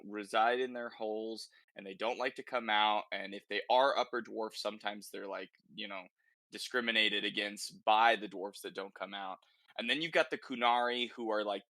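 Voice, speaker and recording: Bright-voiced man chatting on a podcast. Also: mid-range voice, no room sound. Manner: informal, conversational, thinking aloud. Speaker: podcast host. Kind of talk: casual gaming podcast chat.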